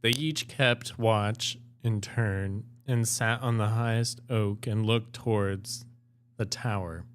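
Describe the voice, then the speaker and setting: A man's voice: on the low side, clear, resonant tone. A man reading fairy tales aloud as an amateur co-host, moving between storybook narration and casual chat.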